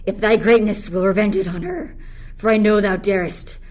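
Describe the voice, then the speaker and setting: A student actress playing a resentful, scheming yet dreamy enslaved island monster in a Shakespearean radio play. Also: female voice, medium pitch, very animated, normal speed, a little mellow, lightly acted. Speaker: student actor.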